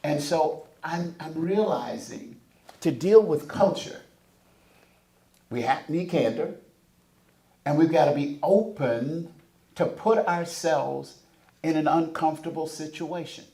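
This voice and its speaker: A man's voice: a little high, slow pace, long pauses, dark tone. A man from the American South, speaking as a panelist with emphatic, preacher-like build-ups. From Southern United States. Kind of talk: panel discussion. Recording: echoey conference room.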